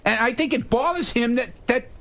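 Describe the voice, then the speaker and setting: A man with a high voice bickers casually on air. He is defensive and profane, gruffly pushing back at the others. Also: fairly quick, bright, forceful tone. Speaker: show staffer arguing on air.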